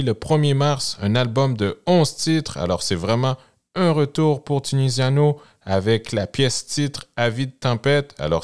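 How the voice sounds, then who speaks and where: A man, speaking as a radio host. His voice sits fairly low, and he takes his time, unhurried and measured. His delivery is that of a steady announcer presenting the next track.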